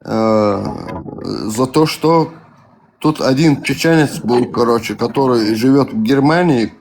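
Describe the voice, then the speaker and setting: This is a man with a Caucasian accent calling in to a live voice chat. He rambles through loose, hesitant speech full of fillers and self-corrections. His mid-pitched voice has a gravelly edge. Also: unhurried, darkish tone, slight background noise. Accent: Caucasian-accented Russian. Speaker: caller on a live stream. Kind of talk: casual chat.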